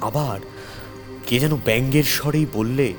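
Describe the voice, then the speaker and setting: A man's voice: mid-range voice, unhurried. A man narrating a scripted horror story in the first person, with a suspenseful, theatrical delivery. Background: music under the voice.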